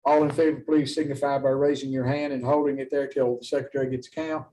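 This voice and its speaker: A man's voice: mid-range voice, flat delivery, quick pace, mellow. A man chairing a formal public meeting as commission chair, speaking in a courteous, procedural manner. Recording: distant mic, faint background noise.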